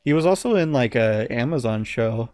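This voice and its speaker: A man co-hosting a podcast in casual chat, halting as he searches for his words. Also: medium pitch, animated, a little mellow. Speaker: podcast co-host.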